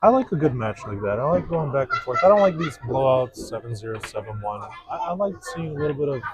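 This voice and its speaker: Man, mid pitch, Bulgarian native, dry teasing pool commentator, crowd chatter behind. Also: animated, fast talker, rough recording. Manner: dry teasing, analytical about shots. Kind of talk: casual commentary chat. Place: pool hall.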